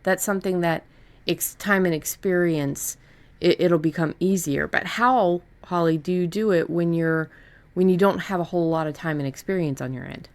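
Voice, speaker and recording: A woman with a notably low voice chats casually as a podcast co-host and writer. She talks in a halting way, working out her thoughts aloud. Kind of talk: casual chat about writing.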